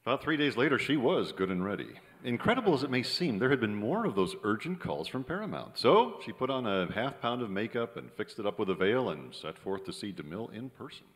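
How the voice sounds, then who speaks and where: A wry, cynical male screenwriter played by an actor in an old-time radio play. His medium-pitched voice moves around freely, ringing through a big theatre. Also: big pitch swings, fairly quick, few pauses, lightly acted. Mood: wry, narrating. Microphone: vintage-style stand microphone on theatre stage. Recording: reverberant theatre stage recording.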